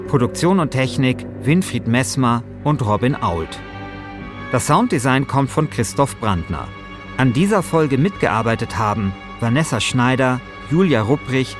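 A man, narrating a podcast from a script as its host. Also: medium pitch.

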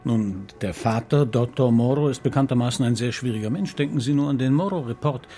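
An actor playing a tactful, sceptical police detective in a radio crime drama, measured and politely insistent. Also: male voice, mid-range voice, warm tone, soft background music, natural voice. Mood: polite, guarded. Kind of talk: understated radio play acting.

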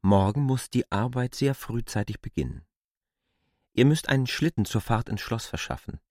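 A man's voice: mid-range voice, animated, moderate pace, a little mellow, dry recording, studio quality, lightly acted. An actor playing a stern, commanding male stranger who gives brusque, exacting orders in a literary radio drama.

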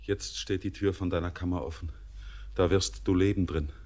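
An actor playing a restless young miner who speaks in a brooding, hushed way in a classic radio play. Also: male voice, deep voice, fairly even intonation, normal speed. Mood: haunted.

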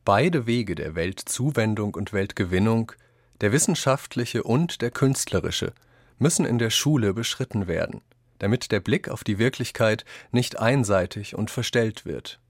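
Lower-pitched man reading quotations, dry sound. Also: lively intonation, normal speed, dry recording. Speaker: reader of quotations in a radio essay. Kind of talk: scripted reading of quoted texts.

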